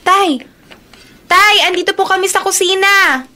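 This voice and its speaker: A sweet dutiful daughter in a radio play, high voice, eager and respectful, bit of noise behind. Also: female voice, measured pace, bright, forceful tone, heavily acted. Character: dutiful young daughter working at an eatery. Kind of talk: radio drama acting.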